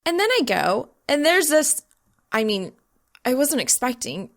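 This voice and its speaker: Woman playing a high-pitched, hot-tempered college girl. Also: big pitch swings, unhurried, full character voice. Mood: indignant, flustered. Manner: hissing, sputtering, shrieking when frustrated. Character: hot-tempered, easily flustered female college student.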